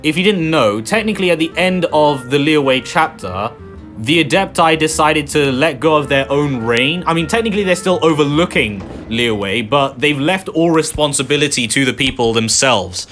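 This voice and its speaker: A man's voice: on the high side, animated, normal speed, a bit bright, soft background music. A young man casually commenting on a game as he plays, chatty and rambling with many fillers. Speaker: gaming video host. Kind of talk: casual let's-play commentary.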